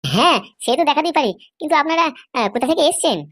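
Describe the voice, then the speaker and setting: A woman's voice: high voice, lively intonation, rapid-fire, a bit bright. A woman playing a cagey, bargaining village drinker with a childlike voice and hesitant manner in a comedy cartoon. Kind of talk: amateur comedy cartoon dialogue.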